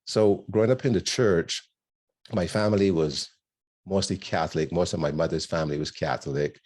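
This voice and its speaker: Deep husky man from Barbados. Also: normal speed. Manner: warm storytelling with frequent ums and laughs. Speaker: guest speaker. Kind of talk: personal testimony talk.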